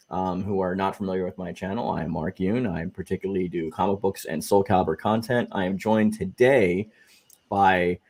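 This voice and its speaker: A man hosting a casual livestream interview over a video call, relaxed and chatty with fillers. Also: sits low. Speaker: host of a gaming channel livestream.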